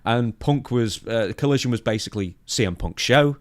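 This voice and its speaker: A man's voice: mid-range voice, animated, moderate pace, no echo, studio quality. A man co-hosting a wrestling podcast, reading out news notes and discussing them in a conversational way. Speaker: podcast co-host.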